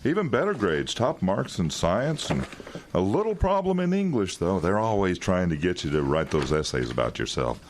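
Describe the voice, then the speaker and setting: An actor playing a wry, quietly menacing intelligence agent in an audio drama, talking in an insinuating, condescending way. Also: male voice, deep voice, sing-song, normal speed, a little hiss or hum. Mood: smooth, needling. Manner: insinuating, condescending, with chuckles and hesitations.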